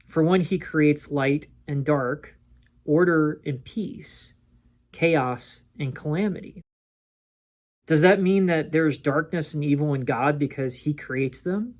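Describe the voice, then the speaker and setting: Man explaining scripture, slightly high voice. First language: English. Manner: reads scripture aloud, explains with everyday examples. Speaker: Bible teacher. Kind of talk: scripted solo teaching talk.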